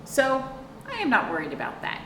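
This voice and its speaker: Middle-aged woman, children's librarian read-aloud: expressive and swooping, chatty asides, picked up by a far mic in an echoey room. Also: sits high. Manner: expressive, drawn-out emphasis and questions to young listeners. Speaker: children's librarian hosting storytime. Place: small indoor room, seated on a couch. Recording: roomy, distant mic with clear background noise.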